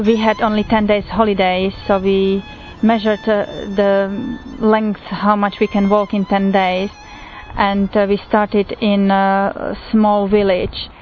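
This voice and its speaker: A woman from Slovakia with a Slovak accent, telling her pilgrimage story in an unhurried way with frequent pauses and fillers. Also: medium pitch. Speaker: pilgrim sharing testimony. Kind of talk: personal testimony. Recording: tinny phone-like recording with faint noise.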